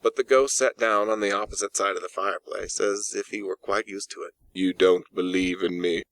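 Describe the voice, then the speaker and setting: A man's voice: on the low side, a little fast, fairly bright tone, dry recording, low-quality recording. A man narrating a literary story from a script as an audiobook narrator. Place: in-house recording, dry.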